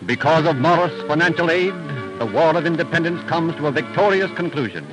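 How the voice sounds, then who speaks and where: A man's voice: a little high, animated, fairly quick, music in the background, poor audio. A man narrating a scripted 1930s radio show as its announcer, in a dramatic, emphatic style on an old recording.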